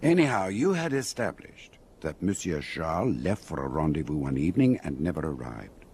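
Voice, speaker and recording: An actor playing a thoughtful confidant in a radio drama, articulate and reflective in conversation. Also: male voice, rumbling low, big pitch swings, moderate pace, a little mellow, husky, exaggerated voice. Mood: musing.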